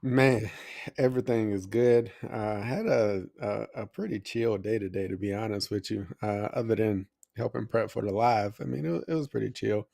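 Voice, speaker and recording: A man's voice: on the low side, steady tone, normal speed, creaky, mellow, no room sound, clean studio sound. A man co-hosting a livestream, chatting casually in an informal, colloquial way. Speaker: live show co-host.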